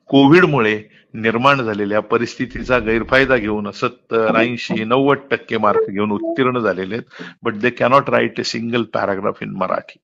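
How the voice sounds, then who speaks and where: A man's voice: mid-range voice, fairly bright tone. Middle-aged man, emphatic and detailed explainer with English words mixed in, muffled call-recording sound. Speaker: college principal. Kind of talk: earnest explanatory phone conversation. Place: phone call.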